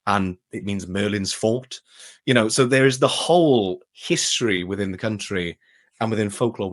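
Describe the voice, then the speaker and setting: A man with a fairly low-pitched voice, talking as a guest in a relaxed interview. His delivery is casual and keen, in an everyday conversational style. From Wales. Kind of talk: casual enthusiastic interview chat.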